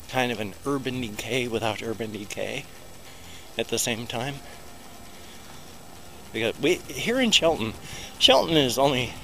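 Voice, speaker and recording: Rambling American man walking by a loud road. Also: lowish voice. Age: middle-aged. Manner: chatty, rambling, self-deprecating narration while walking. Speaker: vlogger. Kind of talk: casual walking vlog. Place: outdoors beside a busy road in a small town. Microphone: small handheld recorder held close to the mouth. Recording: outdoor traffic noise. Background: loud passing traffic.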